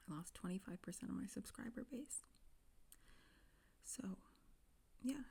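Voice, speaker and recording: A young woman plays a self-pitying influencer who is close to tears. She speaks in a hushed, breathy whisper with a low, mellow tone and a very gravelly fry running through it. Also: exaggerated voice. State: on the verge of tears. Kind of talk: parody apology video.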